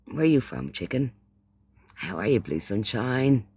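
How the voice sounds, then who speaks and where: A man's voice: mid-range voice, big pitch swings, a little slow, darkish tone, slightly rough. A man from Ireland with an Irish accent hosting a casual livestream chat, often repeating phrases and using pet names for those he addresses. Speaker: livestream host.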